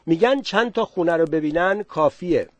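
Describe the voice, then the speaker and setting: Man voicing a cheerful sly guide, coaxing, persuasive, higher voice, dry recording. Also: lively intonation, dry recording, natural voice.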